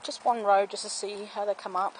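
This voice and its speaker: A woman's voice: medium pitch, flat delivery, a little fast, low-quality recording. Chatty woman filming her allotment plot. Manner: chatty, homely, explains as she goes. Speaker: allotment gardener. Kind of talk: casual walking tour commentary to camera. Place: outdoor allotment garden plot. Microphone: handheld camera, speaker off screen. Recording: outdoor camera audio with clear background noise.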